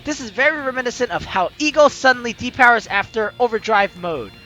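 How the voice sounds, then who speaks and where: A man with a strikingly high, bright voice reads a visual novel aloud as a let's play host. His delivery is theatrical and dramatic, over background music. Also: lively intonation, fairly quick, muffled recording. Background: game music.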